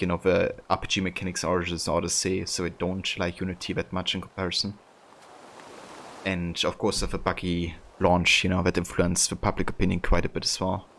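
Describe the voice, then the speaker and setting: A man with a German accent, a native German speaker, chatting casually while streaming, relaxed and rambling with many fillers. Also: deep voice, slight background noise. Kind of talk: casual live-stream commentary.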